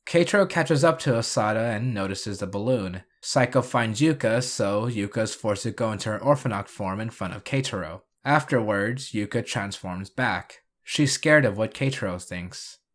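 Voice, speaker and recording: A man's voice: fairly low pitch, expressive, moderate pace, few pauses. A man narrating a scripted video review and recap, with dry, sarcastic asides.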